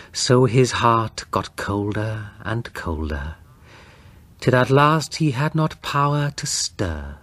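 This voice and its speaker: A male audiobook narrator delivers gothic horror in a grave, suspenseful manner. His voice is husky and breathy, and he takes his time over each phrase. The sound is dry, without any room echo. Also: mid-range voice.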